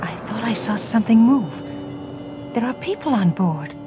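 A woman's voice: on the high side, lively intonation, normal speed, music in the background, close to an everyday voice. An actress playing an alert, earnest woman scientist aboard a spaceship, speaking dramatically. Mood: uneasy.

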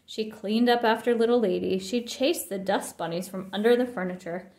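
A woman's voice: mid-range voice, moderate pace, a little mellow, roomy sound. A woman reading a children's picture book aloud with expression. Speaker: storybook reader.

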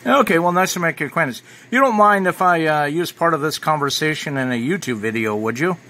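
A man's voice: on the high side, expressive, fairly quick. An American man giving a casual, matter-of-fact review as an amateur radio hobbyist. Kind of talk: casual product review narration. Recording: bright recording with clear background noise.

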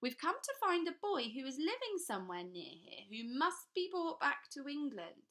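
A woman reading a storybook, voicing a tall, condescending Englishman who speaks slowly as though to small children. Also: high-pitched, sing-song, fairly bright tone, pitched above the performer's own voice, full character voice. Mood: patronizing. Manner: slow, patronizing, oily. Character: pompous tall middle-aged Englishman, condescending.

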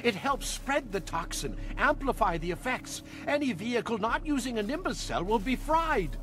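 Cowering game henchman: panicky, shrill, wildly animated pitch. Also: normal speed. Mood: terrified. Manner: panicky, submissive. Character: terrified, cowering male henchman. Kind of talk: video game cutscene acting.

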